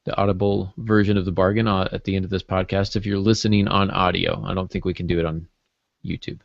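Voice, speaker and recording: A man with a low voice full of fry co-hosts a casual podcast. He jokes drily, and the audio is somewhat muffled and lo-fi. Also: flat delivery, quick pace, dry recording. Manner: joking, dry humour. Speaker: writer and podcast co-host. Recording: dull, dry lo-fi online-call audio.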